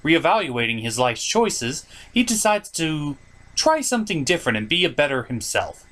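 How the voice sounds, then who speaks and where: A man's voice: a little high, big pitch swings, normal speed, a bit bright. A man hosting a comedy review show, delivering mocking, theatrical scripted commentary. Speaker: host of a puppet review show.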